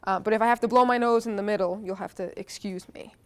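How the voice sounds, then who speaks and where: A woman's voice: mid-range voice, steady tone, fast talker, clear, resonant tone. Woman, stuffy nose, interactive classroom lecture, conversational, lots of fillers. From New Jersey, United States. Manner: chatty, asks the audience questions, frequent "uh" and "um". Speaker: history professor giving a guest lecture.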